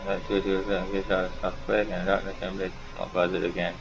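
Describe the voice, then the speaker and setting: Low gravelly man doing game commentary. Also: completely flat delivery, brisk. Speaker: gaming YouTuber. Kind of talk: casual playthrough commentary, reading game text aloud. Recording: dull, noisy home gaming recording.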